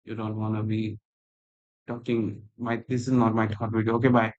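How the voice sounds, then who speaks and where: A man teaching a coding tutorial while screen recording, talking in a halting, rambling way with non-native phrasing, recorded on a laptop's built-in mic. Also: fairly low pitch, completely flat delivery, a little mellow, highs cut off. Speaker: programming tutor. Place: at a computer, indoors.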